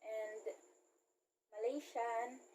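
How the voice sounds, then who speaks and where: A woman's voice: a little high, steady tone, drawn-out words, darkish tone. A woman in her twenties from the southern Philippines with a Filipino accent, giving short, simple answers as an interview guest. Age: 20s. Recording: clear background ambient noise.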